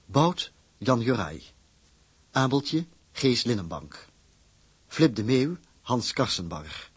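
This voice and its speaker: A man's voice: medium pitch, husky, dry recording. A man reading out scripted credits as the announcer of a radio drama.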